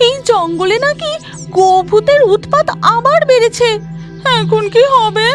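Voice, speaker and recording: A woman's voice: very high-pitched, lively intonation, measured pace, music in the background. A woman playing an anxious, coaxing village wife in a cartoon horror story. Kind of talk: dramatic cartoon dialogue.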